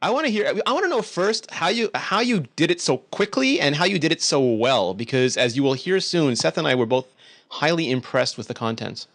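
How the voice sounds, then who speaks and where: A man's voice: a little high, big pitch swings, fairly quick, fairly bright tone, no room sound. A man hosting a casual weekly tech podcast, greeting guests and tossing in playful quips. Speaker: podcast host.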